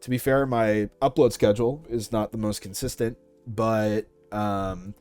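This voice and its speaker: A man's voice: on the low side, fairly even intonation, measured pace, darkish tone, no room sound. A young man answering questions about his creative work in a casual interview, as a content creator. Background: music.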